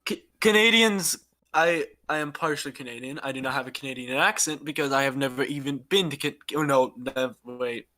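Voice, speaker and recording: A man's voice: medium pitch, fairly quick, pushed and bright. A man hosting a casual podcast chat, rambling and laughing often between topics. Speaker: podcast host.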